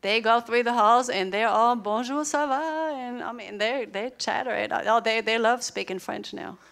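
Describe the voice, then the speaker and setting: A woman's voice: on the high side, gravelly, fairly bright tone. A woman whose first language is German, giving a chatty conference workshop to teachers in a large lecture hall, quizzing the audience and laughing between points. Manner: chatty, laughing asides, quick questions to the audience.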